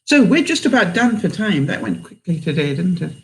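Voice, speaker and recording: Unhurried older woman, mixed British accent. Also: lowish voice, sing-song. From England. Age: 70s. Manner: unhurried, halting, with many fillers. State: tired. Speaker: livestream host. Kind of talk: live-stream chat with viewers.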